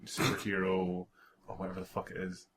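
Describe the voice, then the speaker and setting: A man's voice: sits low, monotone, a little fast, a bit bright, slight background noise. A man co-hosting with casual commentary, throwing in sarcastic, mock-exasperated interjections. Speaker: co-host of fan fiction reading.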